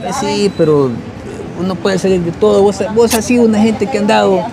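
Young male Salvadoran street interviewer, quick and earnest, slightly high voice, busy crowded street behind him. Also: steady tone, creaky, a crowd in the background. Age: young adult. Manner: coaxing, earnest. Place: outdoors on a city street at night. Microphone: clip-on lavalier.

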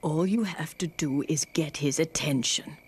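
An actress playing a kindly but insistent old mother-in-law in an animated Bible story, coaxing firmly. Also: sits low, a little slow, slight background noise. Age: older. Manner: coaxing, firm.

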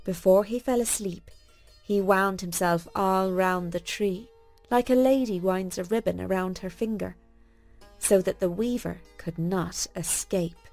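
Woman, children's story narration, folksy, expressive, unhurried pace, music underneath. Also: medium pitch.

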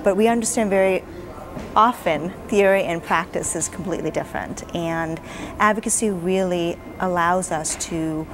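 A woman in her forties, an advocacy director answering interview questions in an earnest, measured way with frequent fillers, seated in a hotel lounge. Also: fairly low pitch, music in the background.

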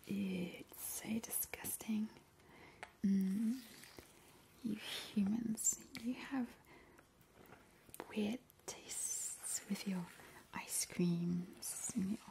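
A woman's voice: mid-range voice, vocal fry, low-quality recording. A woman voicing a gleeful, ghoulish vampire in an ASMR roleplay, relishing her words and repeating them soothingly. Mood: doting, ghoulish. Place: indoor kitchen counter.

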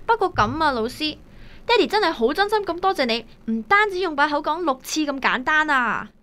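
A woman's voice: high-pitched, big pitch swings, fairly quick, sharp tone, full character voice. A woman playing a cheeky, bossy, outspoken young daughter in a radio drama. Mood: eager, cheeky. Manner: bossy, teasing. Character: cheeky, outspoken young daughter who pushes her dad. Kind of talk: Cantonese radio drama acting.

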